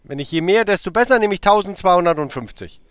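A man's voice: high-pitched, lively intonation, fast, a bit bright, clean tone, no room sound, studio quality. Teasing man in his fifties, hesitant. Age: 50s. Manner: playful, stalling, teasing, with many hesitations. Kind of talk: phone call.

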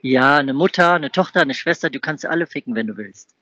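A man's voice: fairly high pitch, expressive, brisk, pushed and bright, no echo, dull audio. Mocking, insistent man on a call. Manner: mocking, repeats questions insistently. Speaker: prank caller baiting scam callers. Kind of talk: confrontational phone prank chat.

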